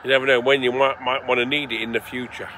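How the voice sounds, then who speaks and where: A man's voice: medium pitch, level tone, quick pace, sharp tone, a little hiss or hum. An English man in his forties, rambling casually to viewers at night, swearing freely. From England. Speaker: vlogger. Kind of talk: casual rambling livestream chat. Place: outdoors at night by the seaside.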